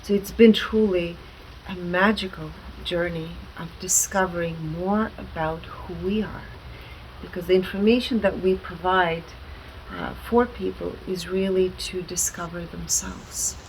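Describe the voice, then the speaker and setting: A woman speaking earnestly and deliberately as an interview guest, explaining her work with emphatic stresses. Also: lowish voice, fairly even intonation, slight background noise, rough recording. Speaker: guest, alternative wellness practitioner.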